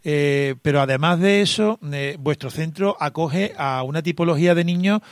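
A man's voice: on the high side, moderate pace, no room sound, clean studio sound. A man hosting a conversational radio interview, chatty and sympathetic, often interjecting. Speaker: radio host interviewing a caller.

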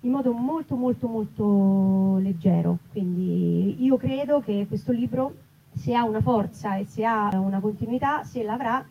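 A woman's voice: medium pitch, moderate pace. Reflective woman, muffled noisy recording. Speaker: writer and actress, interviewee. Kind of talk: casual spoken interview before an audience. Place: live festival talk in an olive grove.

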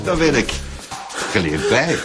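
A man's voice: mid-range voice, big pitch swings, measured pace, loud music behind the voice. An actor playing a drunken, taunting, cynical Flemish schemer in a radio drama, mocking and gloating as he talks. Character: drunken, taunting man, cynical schemer after a woman's money.

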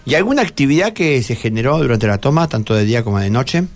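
A man's voice: medium pitch, animated, quick pace, faint music behind. A man with a Buenos Aires Argentine accent hosting a conversational radio interview, repeating answers back as he questions. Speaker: radio host. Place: radio studio.

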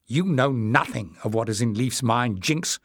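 A man's voice: medium pitch, expressive, measured pace, no echo, clean studio sound. A man voicing a fierce young woman in a story, curt, irritable and disdainful. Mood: irritated. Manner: snappish, curt.

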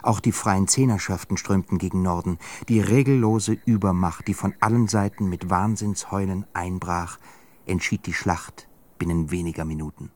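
A man narrating a radio drama in a measured, grave way. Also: sits low, fairly even intonation, a little mellow, a little hiss or hum. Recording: music bed under voice. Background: music.

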